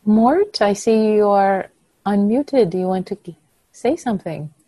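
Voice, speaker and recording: A gentle woman teacher, a native Persian speaker, talks softly and warmly reassures her group over a video call. Her voice is mellow and dark in tone, recorded close and dry with no room sound. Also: mid-range voice, animated, unhurried, dry recording. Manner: soft, nurturing, frequent affirmations. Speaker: meditation teacher.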